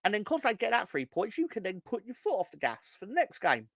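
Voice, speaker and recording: A hoarse man ranting on a casual football fan podcast, swearing and rambling with many restarts. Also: sits high, wildly swooping pitch, a little fast, fairly bright tone, no echo, clean studio sound. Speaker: podcast co-host.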